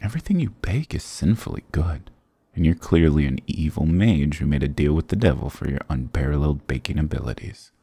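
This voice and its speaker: Very deep, gravelly flirty goth guy, acted by a man. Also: animated, a little fast, mellow, no echo, exaggerated voice. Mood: teasing, curious. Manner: teasing, affectionate, mock-dramatic. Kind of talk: intimate ASMR roleplay acting.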